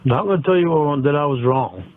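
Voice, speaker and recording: A man's voice: fairly high pitch, expressive, normal speed, heavy background noise. Tinny phone-line voice of a man. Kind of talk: apologetic phone conversation. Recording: tinny, narrow phone call playback.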